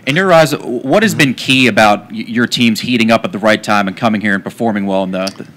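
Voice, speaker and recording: Casting: male reporter at a press conference, voice on the low side, asking questions. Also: quick pace, fairly bright tone.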